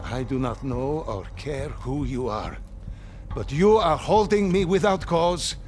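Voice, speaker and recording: Male, actor as dignified, affronted detainee, formal, slightly high-pitched, game drama, light ambience. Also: a little slow, noisy background, close to an everyday voice. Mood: indignant. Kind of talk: cinematic game acting.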